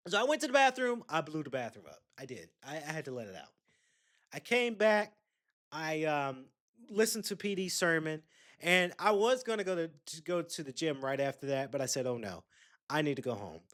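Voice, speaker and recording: A man's voice: on the high side, sing-song, normal speed, a bit bright. A young man, hosting a podcast and telling a rambling, chatty story straight to his listeners. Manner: rambling, chatty, addresses listeners directly with asides. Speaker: podcast host. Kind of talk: casual solo storytelling.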